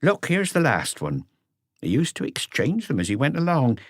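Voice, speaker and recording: A man's voice: mid-range voice, wildly swooping pitch, moderate pace, dry recording, clean studio sound, pitched above the performer's own voice. A man voicing a wary, proud elderly mother with muttered, defiant retorts in an audiobook. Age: older. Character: sharp-tongued elderly mother, wary, proud of her son. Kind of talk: audiobook character voice.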